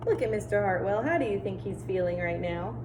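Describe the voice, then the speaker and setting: Animated woman teacher reading aloud. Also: medium pitch, fairly quick. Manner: expressive, explaining and asking questions to children. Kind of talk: children's storybook read-aloud with commentary. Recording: clear background noise.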